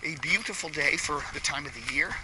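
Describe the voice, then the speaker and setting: Chatty man, slightly hoarse, outdoors. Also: fairly high pitch, a little fast. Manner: chatty, rambling tour-guide asides. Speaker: hiking vlogger. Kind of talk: casual walking narration. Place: outdoors on a paved trail. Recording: loud outdoor background noise.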